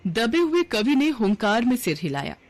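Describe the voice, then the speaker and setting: A woman's voice: fairly high pitch, big pitch swings, normal speed. A woman narrator reading a scripted story for a cartoon video.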